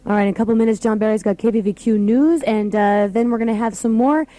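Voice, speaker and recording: High-voiced woman hosting live radio. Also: steady tone, fast, dark tone. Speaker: radio host. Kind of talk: live radio show chat with callers. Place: radio studio.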